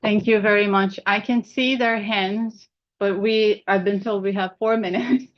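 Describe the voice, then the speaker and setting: Brisk woman moderating a panel. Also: medium pitch, fairly even intonation, dull audio. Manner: brisk, polite, keeping time.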